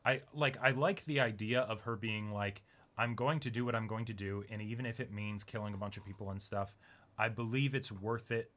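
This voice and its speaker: A man's voice: on the low side, fairly even intonation, brisk, fairly bright tone. A man co-hosting a casual discussion, thinking aloud in loose, conversational phrasing. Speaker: co-host of an anime reaction discussion.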